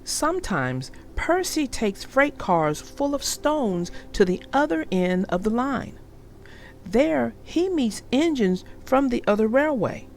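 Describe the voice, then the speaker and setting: A woman reading a children's storybook aloud in an animated storytelling manner. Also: a little high, slow, a little hiss or hum. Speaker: storybook narrator.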